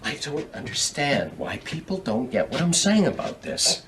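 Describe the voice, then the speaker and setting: A man's voice: on the high side, very animated, moderate pace, noisy background. An actor playing an angry, foul-mouthed, defensive man who argues in exasperation, in a naturalistic drama. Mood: frustrated. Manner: swearing, combative, exasperated. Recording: distant microphone.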